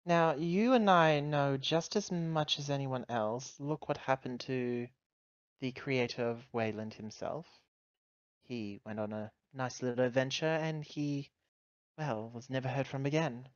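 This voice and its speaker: Guy as a witty scheming android woman in a tabletop rpg, coy deliberate talk, really dry sound. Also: mid-range voice, dry recording, muffled recording, close to an everyday voice. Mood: knowing. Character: shrewd, witty female android company agent.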